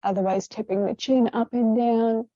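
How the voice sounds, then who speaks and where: Female meditation guide. Soothing, unhurried delivery, medium pitch, close dry sound, a touch muffled. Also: fairly even intonation, no room sound. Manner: gentle, unhurried, soothing instruction. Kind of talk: guided meditation with gentle stretches.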